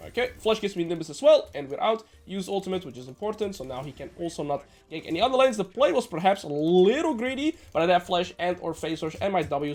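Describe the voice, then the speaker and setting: A man from the Netherlands, sounding nasal and a little sick, giving brisk gameplay commentary as a coach with joking asides. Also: fairly high pitch, darkish tone. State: slightly sick. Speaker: game coach.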